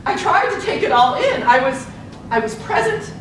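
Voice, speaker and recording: A woman playing an earnest, determined young newspaper reporter of the suffrage era in a staged reading, speaking deliberately and emphatically. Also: sing-song. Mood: reflective, inspired. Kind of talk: live staged play reading. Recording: echoey hall from far off, noisy rumble.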